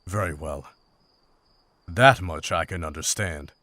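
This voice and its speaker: A man playing a stern, world-weary monster hunter who speaks in measured, formal phrases in a visual novel. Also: low voice, pushed and bright, dry recording. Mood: subdued.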